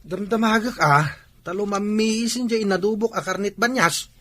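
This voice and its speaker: A man's voice: high-pitched, moderate pace, fairly bright tone. An actor with an Ilocano accent playing a fussy, chatty father at home in a comedy drama.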